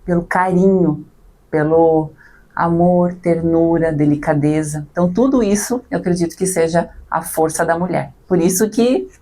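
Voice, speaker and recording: A woman's voice: fairly low pitch, moderate pace, mellow. A woman in her thirties from southern Brazil with a Santa Catarina accent touched by gaúcho speech, speaking reflectively as a podcast guest. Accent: Santa Catarina Brazilian Portuguese with some gaúcho. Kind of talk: casual podcast conversation.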